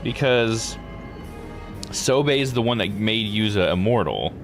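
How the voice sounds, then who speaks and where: Man, game streamer, lowish voice, casual live commentary, puzzling aloud, game music clearly behind him. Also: lively intonation, takes it slowly. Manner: thinks aloud, exclaims in disbelief.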